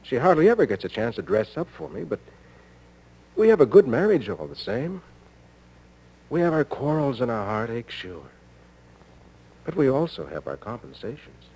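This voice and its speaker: A man's voice: medium pitch, big pitch swings, normal speed, pauses often, a little mellow. An American man acting an earnest, conscience-stricken husband in a 1950s radio soap. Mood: earnest, defensive. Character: earnest, conscience-stricken husband confessing to his sister-in-law. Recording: noisy 1950s radio aircheck with hum.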